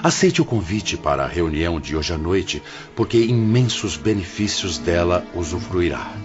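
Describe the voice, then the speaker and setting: A man plays a wise, fatherly spirit who directs an afterlife hospital, in a very low voice. His solemn, formal counsel rises and falls expressively in audiobook drama style. The sound is dull and lo-fi, with music clearly underneath. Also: sing-song, moderate pace. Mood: gently firm.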